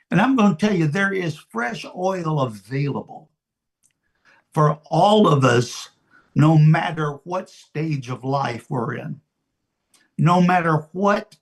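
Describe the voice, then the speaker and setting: A man in his seventies preaching an informal sermon as a pastor, deliberate and emphatic, pausing and repeating his phrases. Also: on the high side, fairly bright tone. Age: 70s.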